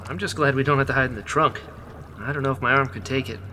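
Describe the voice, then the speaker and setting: A woman playing a gentle, caring rebel who talks softly and stammers when uneasy. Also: a bass voice, fast, slight background noise. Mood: hesitant. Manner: soft, hesitant, stammering. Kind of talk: audio drama acting.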